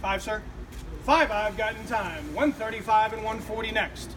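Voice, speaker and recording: A middle-aged male auctioneer rattles off bids in a quick, rhythmic chant with a high voice. He is recorded from a distance outdoors on a street, with clear traffic noise behind him. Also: fairly bright tone. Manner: rhythmic, repetitive bid chant. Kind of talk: rapid auction calling. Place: outdoors on a street beside a building. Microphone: far camera.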